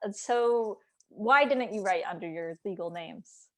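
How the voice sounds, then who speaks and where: A woman's voice: mid-range voice, unhurried. A woman hosting an author interview, introducing guests and putting questions to them. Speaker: host, editor and YouTuber.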